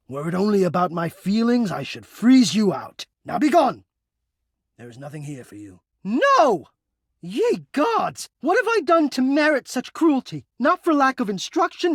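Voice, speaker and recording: Here is a proud, easily wounded male spirit of a home's heating and cooling, made for a video game. The voice is husky and swings widely in pitch. The diction is florid and formal, with old-fashioned theatrical flair. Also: no echo, clean studio sound, heavily acted. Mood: bitter, disgusted, then anguished, furious. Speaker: voice actor. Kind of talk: video game character acting.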